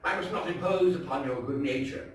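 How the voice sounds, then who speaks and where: Male actor as falsely solicitous, vengeful gentleman, theatrical and coaxing; high-pitched, heard from a distance in echo. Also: fairly quick, natural voice. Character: smooth-talking vengeful Italian nobleman feigning friendship. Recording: echoey theatre, far from mic, faint noise.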